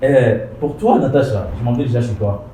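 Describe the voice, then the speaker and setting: A man's voice: mid-range voice, warm tone. Hesitant man hosting, echoey and distant. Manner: halting, many fillers like "bah" and "euh". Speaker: host. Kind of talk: moderating a casual panel. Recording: echoey, distant mic, faint noise.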